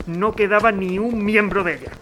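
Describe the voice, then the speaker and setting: A man's voice: high voice, steady tone, background noise, natural voice. A man playing a formal, weary and dutiful civil governor under strain in an audio drama. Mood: grave. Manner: formal, measured authority, hesitant.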